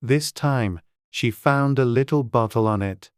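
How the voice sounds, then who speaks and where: A man's voice: mid-range voice, a little slow, smooth, no echo, clean studio sound. A man reading scripted storybook narration as an audiobook narrator.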